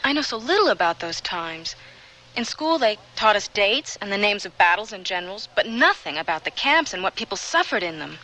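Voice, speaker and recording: A woman's voice: medium pitch, sing-song, a little fast, pushed and bright, slight background noise, rough recording. An actress playing an earnest, anxious woman, sympathetic but easily exasperated, in a radio drama.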